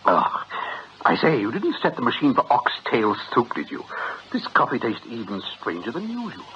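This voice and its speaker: An actor with a British accent playing a jovial, slippery agency boss in a radio comedy-drama, breezy, wheedling and mock-polite. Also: male voice, mid-range voice, huge pitch swings, normal speed. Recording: muffled radio drama recording, faint hiss.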